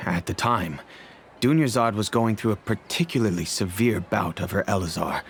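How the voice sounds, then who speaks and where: An actor playing a dignified older nobleman and father, speaking formally and gravely with measured pauses in a video game. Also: fairly low pitch, slight background noise, natural voice. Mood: troubled, reminiscing. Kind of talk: video game character dialogue. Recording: music behind the voice.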